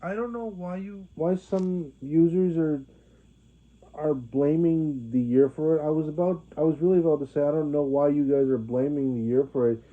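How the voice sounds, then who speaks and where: Halting young man, tinny phone-like sound. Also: fairly high pitch. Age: 20s. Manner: halting, many restarts and fillers. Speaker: vlogger. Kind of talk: rambling monologue to viewers.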